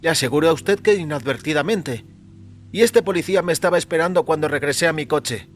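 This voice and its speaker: A man's voice: on the high side, quick pace, voice pushed up, lightly acted. A man voicing a mild, courteous little killer in audio fiction, explaining himself fussily. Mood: polite, self-justifying. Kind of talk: audio fiction character acting. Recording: music behind the voice.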